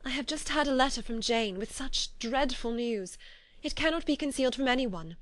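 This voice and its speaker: A woman reading the lines of a distraught young gentlewoman, her voice raised, high and strained with agitation. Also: brisk, no room sound, lightly acted.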